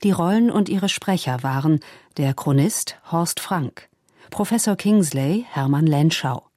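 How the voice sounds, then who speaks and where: Low, mellow woman radio announcer. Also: expressive, a little slow, no room sound, clean studio sound. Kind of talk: formal scripted credits reading, 1960s radio.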